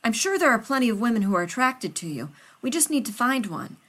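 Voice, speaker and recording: A woman's voice: fairly high pitch, big pitch swings, quick pace, a bit bright, pitched above the performer's own voice. A woman reading a story aloud, voicing a fussy, rambling male scientist who talks over others. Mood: flustered.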